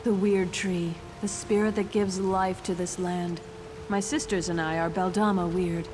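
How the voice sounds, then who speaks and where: A woman's voice: on the low side, fairly even intonation, a little slow, noisy background, natural voice. A woman voicing a troubled, devout forest witch with a grave manner in a fantasy video game. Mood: grave, worried.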